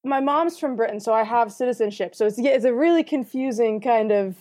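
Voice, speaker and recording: A woman's voice: fairly high pitch, fairly quick, darkish tone. A young American woman and film student, talking casually on a podcast with frequent hesitations and restarted phrases. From United States. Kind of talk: casual chat.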